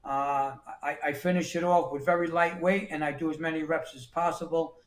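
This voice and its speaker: A man in his sixties from New York City, speaking a little higher than most men. He talks plainly and often restarts his sentences. His voice rings in an echoey room. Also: steady tone, brisk. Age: 60s. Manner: plain-spoken, repeats words and restarts often. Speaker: guest. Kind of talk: casual interview talk.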